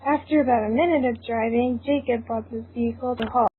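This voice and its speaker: A woman's voice: fairly high pitch, steady tone, a little fast. A woman reading a story aloud as narrator in an informal way, stumbling now and then and apt to giggle. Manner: informal, breaks into giggles, stumbles over lines. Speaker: narrator of a fan fiction reading. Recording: thin phone-like sound, faint noise.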